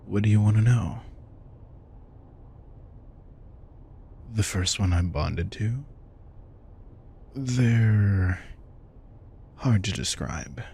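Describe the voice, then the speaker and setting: A man's voice: on the low side, creaky, warm tone, slight background noise. A man playing a centuries-old vampire king, wry and protective, talking casually and intimately to the listener in an audio roleplay. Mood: fond, nostalgic. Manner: casual, reflective, swearing, addresses listener directly. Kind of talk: intimate scripted audio roleplay, conversational monologue.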